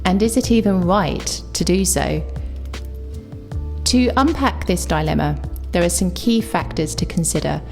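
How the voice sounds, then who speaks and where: A woman with a London accent, an English teacher delivering a scripted, reflective video essay with clear opinions. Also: fairly low pitch, expressive, unhurried, darkish tone, faint music behind. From England.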